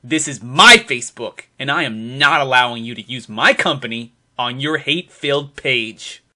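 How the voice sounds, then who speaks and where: A man giving a dramatic reading in an emphatic, deliberate, mock-serious way. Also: medium pitch, pushed and bright. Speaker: performer reading social media posts aloud.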